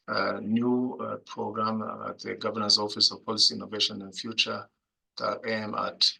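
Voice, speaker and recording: A man from the Congo with a Congolese accent, introducing himself as a panelist in a webinar over a video call, halting, with many fillers and restarts. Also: lowish voice, gravelly, bright, forceful tone, breathy edge, rough recording. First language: Kinyamulenge.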